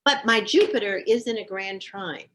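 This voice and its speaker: An older woman with a mid-pitched voice talks freely and at length. She is emphatic and sure of herself, and keeps the conversation moving in a relaxed interview. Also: normal speed, a little hiss or hum. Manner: emphatic, talkative, self-assured. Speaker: astrologer guest.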